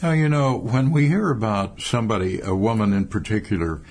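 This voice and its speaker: Husky low-voiced male interviewer. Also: animated, brisk, a little mellow. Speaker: radio interviewer. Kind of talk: interview questions.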